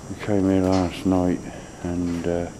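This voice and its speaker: A man with a very deep voice talks in a hushed, blunt half-whisper and swears now and then. He was recorded on a handheld camcorder in dark barns at night, so the audio is noisy with a clear hiss behind him. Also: completely flat delivery, takes it slowly. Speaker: undercover animal welfare investigator. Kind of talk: hushed running commentary while filming.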